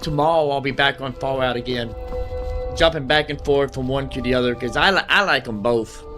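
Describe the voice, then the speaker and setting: A man's voice: medium pitch, fairly quick, over music. A man doing casual live gameplay commentary, thinking aloud and often repeating himself. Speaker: game streamer.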